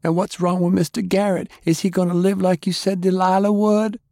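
A man's voice: sits high, level tone, brisk, vocal fry, dark tone, no room sound, clean studio sound, pitched above the performer's own voice. A man narrating an audiobook, voicing an upset, feisty young girl who is insistent and accusing. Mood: agitated questioning.

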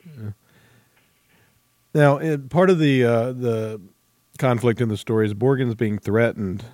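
A man hosting a conversational book interview, asking questions in a tentative, halting way. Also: mid-range voice, a little mellow, no echo. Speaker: interviewer, host.

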